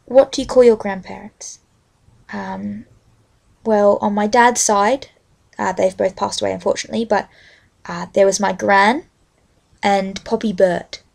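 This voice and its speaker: A young adult woman with an Australian accent, speaking as a vlogger in a halting, unscripted-sounding way. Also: medium pitch, fairly even intonation, creaky. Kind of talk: casual rambling chat with a read-aloud book passage.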